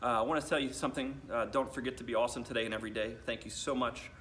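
An American man, a school principal in his thirties, reading a storybook aloud to children with deliberate phrasing and addressing them directly. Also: mid-range voice, fairly even intonation, fairly bright tone, a little hiss or hum. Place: school library, indoors.

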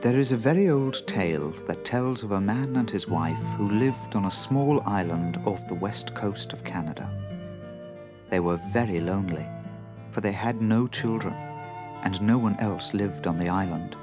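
A man narrating a children's story in an expressive storytelling style. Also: on the low side, normal speed, over music.

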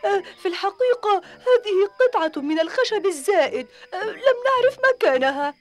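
A tiny cartoon creature squeaks high and stretches every word. He is eager and a bit boastful. His voice jumps all over the place. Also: fairly quick, soft background music. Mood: excited. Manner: squeaky, drawn out, excited.

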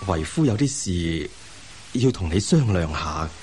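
A man's voice: sits low, sing-song, unhurried, darkish tone. A man acting a scholarly middle-aged imperial envoy and placating husband in a Cantonese radio drama, with a Hong Kong accent, sighing and hesitant. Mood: awkward, persuading. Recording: hissy radio drama rebroadcast.

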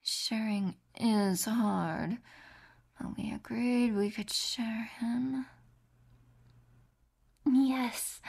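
A woman voicing two doting, possessive young female captors in a whispered audio roleplay. She speaks in a soft, cooing whisper full of pet names, with a husky voice. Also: fairly high pitch, exaggerated voice.

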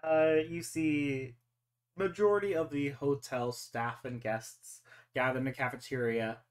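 A game master with a medium-pitched voice runs an online roleplay session. The narration is casual and improvised, and feels its way through each description. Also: steady tone, a little slow. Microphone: voice call.

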